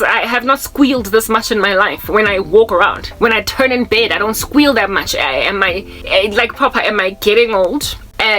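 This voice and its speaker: A South African woman in her thirties preaches in a loose, rambling way, repeating phrases and dropping in Zulu words. Her voice sits at an ordinary middle pitch. Faint music plays behind her. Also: a bit bright, rough recording. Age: 30s. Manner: rambling, repeats herself, mixes in Zulu words, groans to mimic old people. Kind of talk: casual spiritual talk to camera.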